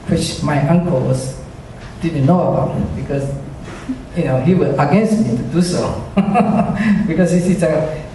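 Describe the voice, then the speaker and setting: Slow, high-voiced man in echoey hall. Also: warm tone, a little hiss or hum. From China. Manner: hesitant, many fillers, chuckles often, self-deprecating. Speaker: speaker giving a personal faith testimony. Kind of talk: church testimony to a congregation. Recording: echoey hall, speaker far from mic.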